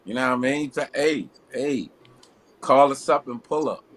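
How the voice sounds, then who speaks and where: A man's voice: medium pitch, normal speed, a bit bright. A man who is a guest R&B singer, calling out with energy to invite shows.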